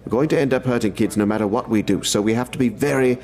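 An actor playing a brisk male military major who briefs in a businesslike way. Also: medium pitch, a little mellow, close to an everyday voice. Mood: serious.

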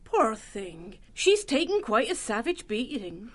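A woman voicing a brisk, caring female healer mouse who scolds her patient kindly but firmly. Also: fairly high pitch, very animated, exaggerated voice. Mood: sympathetic. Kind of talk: audiobook character voice.

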